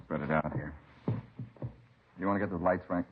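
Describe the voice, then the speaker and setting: A man's voice: low-pitched, normal speed, noisy background, close to an everyday voice. An actor playing a methodical police crime lab man in a vintage radio drama, laconic and matter-of-fact. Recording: muffled vintage radio recording.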